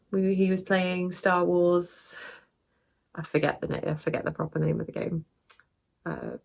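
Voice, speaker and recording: A woman's voice: lowish voice, vocal fry. A woman vlogging casually about her crafts, chatty and rambling, sounding bunged up and sniffly. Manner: chatty and rambling, laughs often. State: stuffy nose from sneezing. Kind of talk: casual chat to camera.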